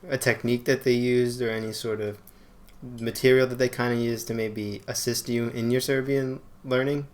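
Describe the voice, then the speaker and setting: Male interviewer, easygoing, hedges his questions, average pitch. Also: little pitch movement, moderate pace, a little mellow. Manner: friendly, hedging questions with "like".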